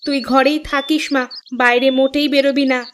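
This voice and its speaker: A woman's voice: sits high, little pitch movement, a little fast, a little hiss or hum, lightly acted. A woman voicing a poor village mother in a cartoon, speaking in a plaintive, respectful and dramatic way. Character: poor, pleading village mother, abandoned wife. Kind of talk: Bengali cartoon voice acting. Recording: loud background music under the voice.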